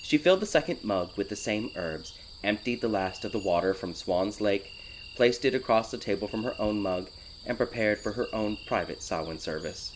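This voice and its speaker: A man reading a scripted dark fairy tale as an audiobook narrator. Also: fairly low pitch, expressive, moderate pace, background noise. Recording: loud crickets and night ambience behind. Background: night insects, ambience.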